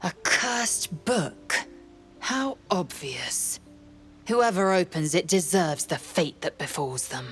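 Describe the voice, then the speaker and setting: A woman playing a severe, disdainful female adventurer in a video game, scornful and deliberate. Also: fairly low pitch, animated, fairly bright tone, soft background music. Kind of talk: video game character acting.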